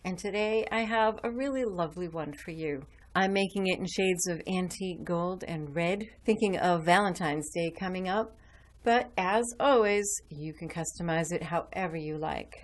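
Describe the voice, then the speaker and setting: Lower-voiced woman explaining a craft. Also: moderate pace, a little hiss or hum. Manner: chatty, explains while working with her hands. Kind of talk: relaxed step-by-step craft demonstration.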